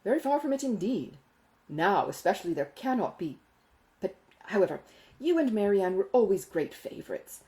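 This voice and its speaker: Woman as a pompous, animated Regency gentleman. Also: medium pitch, moderate pace, lightly acted. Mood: fussy, genial. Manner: pompous, exclamatory, confiding. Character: self-important, money-minded gentleman, fussy and astonished. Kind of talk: acted audiobook dialogue from a Regency novel.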